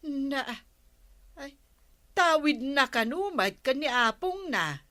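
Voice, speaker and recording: A woman's voice: high voice, slow pace, full character voice. A woman with an Ilocano accent playing a nosy, gossipy village neighbour in a radio comedy drama. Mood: prying. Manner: nosy, animated.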